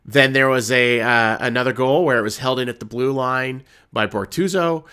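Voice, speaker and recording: Hoarse man breaking down a hockey game. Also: medium pitch, moderate pace, pushed and bright. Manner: rambling, many fillers and restarts. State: hoarse from illness. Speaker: hockey podcast host. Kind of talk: casual postgame analysis.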